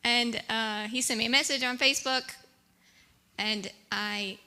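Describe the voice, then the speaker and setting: A woman's voice: on the high side, steady tone, a little slow, sharp tone, smooth. A woman missionary telling a story in a casual, chatty way to a church. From United States. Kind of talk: church presentation. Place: church sanctuary.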